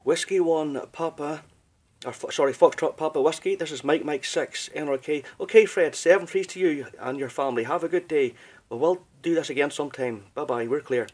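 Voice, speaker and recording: Higher-voiced Northern Irish man on radio. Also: fairly quick, darkish tone. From Northern Ireland. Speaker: amateur radio operator. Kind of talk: casual ham radio contact.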